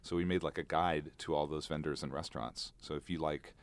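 A man's voice: extremely low pitch, fairly even intonation, fairly quick, no room sound. A man from Oregon who is a guest author, talking about his work in a laid-back studio chat that is peppered with "like". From Oregon, USA. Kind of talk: casual interview chat.

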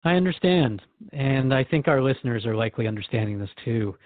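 A man's voice: medium pitch, lively intonation, a little fast, no room sound, narrow, tinny audio. A man hosting a conversational radio interview, speaking informally and reflectively, with some hesitancy as he thinks aloud. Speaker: radio show host and interviewer.

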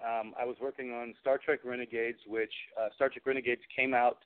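A man's voice: mid-range voice, level tone, fairly quick. A man giving an informal, matter-of-fact update as a guest in a casual interview. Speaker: guest, costume and prop maker. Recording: dull lo-fi call audio.

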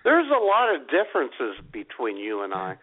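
A man's voice: medium pitch, wildly swooping pitch, normal speed. A man co-hosting a casual comedy podcast, teasing his partner and calling himself an optimist. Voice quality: gravelly, teasing, self-described optimist. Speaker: podcast co-host. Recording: tinny phone-like audio.

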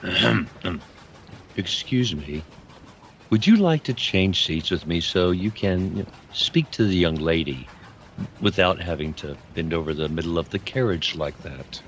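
An actor playing a courteous, curious man of mystery in a radio drama, genial and gently probing. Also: low-pitched, expressive, measured pace, a little hiss or hum, exaggerated voice. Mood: obliging, knowing. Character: courteous, curious, knowing male mystery traveller.

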